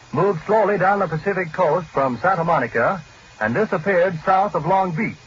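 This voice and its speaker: A male radio reporter delivers a scripted 1940s news bulletin. His voice is fairly high for a man and his delivery is formal and factual. The vintage broadcast sounds dull, with faint noise. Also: fairly bright tone. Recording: muffled, noisy 1940s radio recording.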